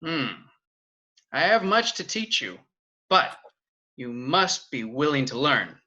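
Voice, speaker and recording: Wise martial arts master, sitcom read, solemn, lively intonation, forceful tone. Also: male voice, on the high side, dull audio, full character voice. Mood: solemn, mysterious. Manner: measured, sage-like delivery. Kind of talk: sitcom table read over video call.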